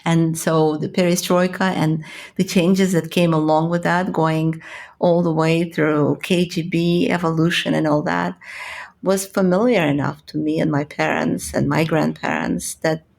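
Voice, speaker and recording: Guest: middle-aged Ukrainian-born woman, low gravelly voice, candid storyteller. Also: level tone, a little slow, a little mellow. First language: Russian. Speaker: guest physician. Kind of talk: podcast interview.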